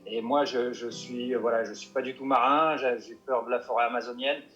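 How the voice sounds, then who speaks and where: A mountain guide answering interview questions, hesitant and full of restarts and fillers. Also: male voice, mid-range voice, fairly even intonation, a little fast, fairly bright tone, lo-fi sound, soft background music. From Paris suburbs.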